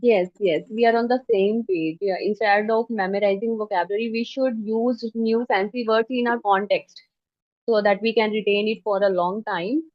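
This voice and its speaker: A woman from Haryana, a teacher interviewing a learner and often adding soft backchannels. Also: mid-range voice, fairly even intonation, moderate pace, no echo. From Haryana, India. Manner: attentive, frequent soft backchannels. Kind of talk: interview conversation. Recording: dull, dry lo-fi audio.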